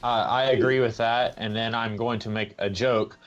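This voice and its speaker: A man's voice: medium pitch, steady tone, normal speed. The sound is a little dull and lo-fi. A man with a mid-range voice chats casually as a podcast co-host, in a joking style. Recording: slightly dull, lo-fi mic.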